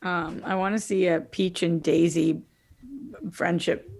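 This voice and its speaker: A woman's voice: fairly low pitch, level tone, measured pace, a little mellow. A woman co-hosting a podcast in casual, conversational chat. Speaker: podcast co-host.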